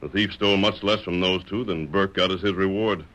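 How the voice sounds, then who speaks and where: A masked American western hero in a radio drama. His voice is deep and forceful. He speaks in a measured, well-spoken, authoritative way, noble and resolute. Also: steady tone. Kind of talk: 1940s radio western acting.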